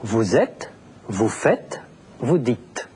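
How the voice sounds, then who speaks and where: A man narrating a language lesson, explaining deliberately with very clear enunciation. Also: mid-range voice, sing-song, a little mellow, a little hiss or hum. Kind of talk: scripted teaching narration.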